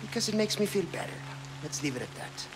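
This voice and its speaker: Lively, high-pitched sly rogue. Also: male voice, fast talker, background noise. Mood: cocky, casual. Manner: teasing, cocky, evasive. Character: sly, roguish adult male researcher bargaining for survival. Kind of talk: video game cutscene acting.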